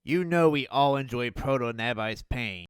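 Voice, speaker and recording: A man hosting a video, riffing on cartoon clips with mocking, deadpan sarcasm. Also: medium pitch, moderate pace, over loud music. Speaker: video host. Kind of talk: sarcastic riff commentary.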